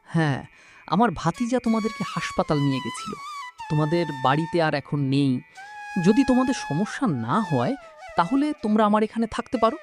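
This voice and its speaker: A man acts out a kindly, rich cartoon uncle. He sounds welcoming and reassuring, his pitch swooping widely, with clear music behind him. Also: wildly swooping pitch, moderate pace. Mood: concerned, kindly. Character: generous, wealthy middle-aged unmarried male uncle. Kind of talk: cartoon voice acting. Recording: music laid over the dialogue.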